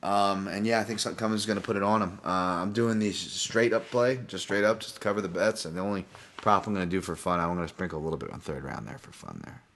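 A man's voice: low voice, quick pace, fairly bright tone. A man with a Hawaiian accent hosting a casual solo podcast, talking in a rambling way with many restarts and casual swearing. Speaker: podcast host and fight analyst.

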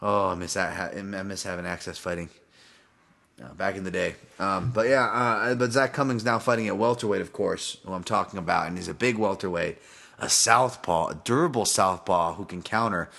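A man with a Hawaiian accent and a fairly low voice, talking through his thoughts alone on a podcast. He rambles, restarts his sentences and swears casually as he goes. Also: normal speed, creaky, bright, forceful tone. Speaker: podcast host and fight analyst. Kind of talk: casual solo podcast breakdown.

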